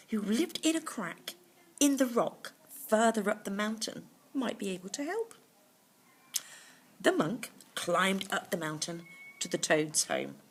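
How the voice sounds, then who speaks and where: A woman reading a bedtime story to children, pausing to explain things simply in little asides. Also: medium pitch, husky. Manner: explains words simply to young listeners.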